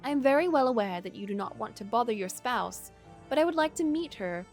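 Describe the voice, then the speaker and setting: A woman's voice: fairly high pitch, expressive, fairly quick, soft background music, voice pushed up. A woman voicing a lord's aggrieved mistress in a visual novel, pleading formally and earnestly. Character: aggrieved mistress, mother of an illegitimate child, pleading.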